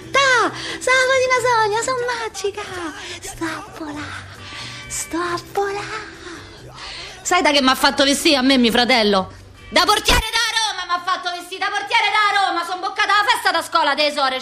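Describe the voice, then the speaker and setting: A woman playing a brash, wounded, childlike working-class Roman street girl in a theatrical monologue, with a slangy, repetitive, excitable delivery and a Roman accent. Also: very high-pitched. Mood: excited, boastful. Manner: slangy, repetitive, excitable, acting out many people.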